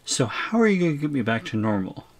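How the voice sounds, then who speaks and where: A man's voice: mid-range voice, sing-song, quick pace. A man playing a bewildered, exasperated shrunken student in an audio roleplay, stammering and incredulous. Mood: exasperated, pleading. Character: bewildered, exasperated shrunken male student, three inches tall.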